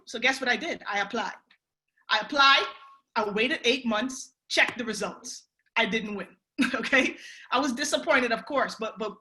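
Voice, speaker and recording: A woman's voice: a little high, sharp tone, poor audio. A woman in her thirties from the Bahamas with a Bahamian accent, telling her life story on a mentorship video call in an upbeat, chatty way. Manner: upbeat, chatty, lots of "you know" and "right". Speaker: guest speaker on a mentorship call. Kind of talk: motivational personal story.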